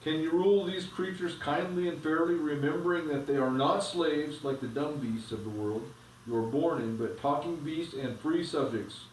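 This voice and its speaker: A man reading aloud, voicing a majestic, kind male lion king in a grave, measured way. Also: fairly high pitch, slight background noise, natural voice. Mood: solemn. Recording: echoey room, from a distance.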